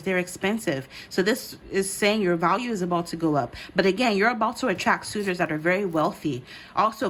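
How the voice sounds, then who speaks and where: A woman's voice: medium pitch, a bit bright, poor audio. A woman from the United States and Ghana, giving a casual, conversational card reading as she speaks directly to her listeners. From born in the United States, grew up in Ghana. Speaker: host, tarot card reader.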